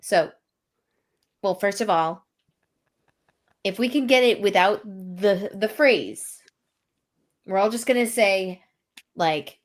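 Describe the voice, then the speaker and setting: A woman player talks through a voice call at an unhurried pace. She steers the group, often repeating her words as she sorts things out. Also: medium pitch, lively intonation. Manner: organizing, repeats herself.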